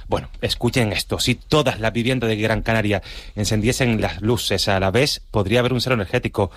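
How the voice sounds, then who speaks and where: A man reads a radio news bulletin in a low voice, moving quickly and crisply through the items. The studio sound is dry and close. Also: no echo. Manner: crisp, brisk delivery. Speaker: newsreader.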